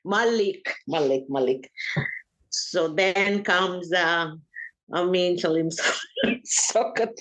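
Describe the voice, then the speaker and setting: An older woman from Uganda, a family elder being interviewed, talking in an unhurried, hesitant way with frequent pauses. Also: lowish voice, fairly bright tone, low-quality recording.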